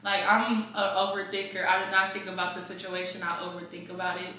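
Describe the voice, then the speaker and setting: A woman's voice: fairly low pitch, monotone, a little fast, pushed and bright. A twenty-year-old woman chatting casually and candidly, repeating phrases for emphasis. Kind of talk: casual chat. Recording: roomy echo, distant mic, faint noise.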